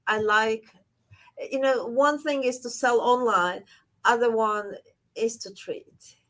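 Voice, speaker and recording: A woman from Latvia, a surgeon, speaking as an interview guest in a halting way, repeating words as she goes. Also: a little high, a bit bright. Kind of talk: interview conversation.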